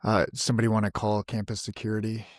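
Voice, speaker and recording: A man playing a tabletop roleplaying game, improvising casually in a polite, measured, slightly hesitant manner. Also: fairly low pitch, completely flat delivery, no room sound, studio quality.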